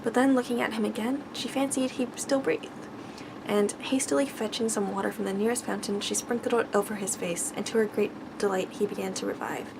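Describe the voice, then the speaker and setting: A soft-spoken woman reading a bedtime story in ASMR style, stumbling now and then over the words. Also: mid-range voice, fairly even intonation, a little fast, creaky, background noise.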